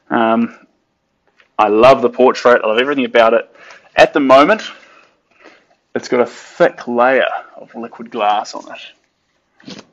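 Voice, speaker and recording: A young man, yarning to viewers while he paints. He talks slowly and chattily at a middling pitch. The sound is dull and a little lo-fi. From New Zealand. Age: late 20s. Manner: chatty and upbeat, sings snatches of songs and talks to viewers. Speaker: artist streaming while painting. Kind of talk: casual live-stream chat while painting.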